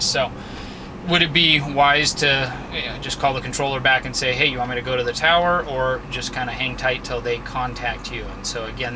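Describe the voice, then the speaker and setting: A man casually asking viewers an aviation question, hesitant and full of ums and uhs, recorded in a car. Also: medium pitch. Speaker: aviation hobbyist vlogger. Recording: loud vehicle and air conditioning noise.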